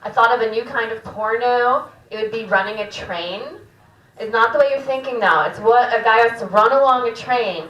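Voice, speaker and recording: Echoey, higher-voiced female comedy host. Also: normal speed, fairly bright tone, muffled recording. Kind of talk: casual stand-up banter between acts. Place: small live comedy room at a cafe. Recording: echoey room, distant stage microphone.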